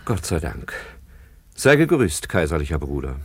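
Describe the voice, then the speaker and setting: Pompous, swooping exiled emperor. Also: male voice, a bass voice, big pitch swings, normal speed, husky, dry recording, exaggerated voice. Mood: grandly formal. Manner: declamatory, grandiose. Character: pompous, fretful fugitive Eastern Roman emperor. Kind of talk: German radio play acting.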